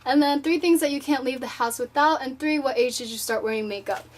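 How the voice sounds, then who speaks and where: An eighteen-year-old woman from Japan, her voice sitting a little high, chats through viewer questions from her bedroom. She talks loosely and rambles. She drops "like" into nearly every sentence. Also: brisk. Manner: chatty, rambling, fills with "like" often. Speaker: vlogger answering viewer questions. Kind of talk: casual Q&A vlog. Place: her own room at home.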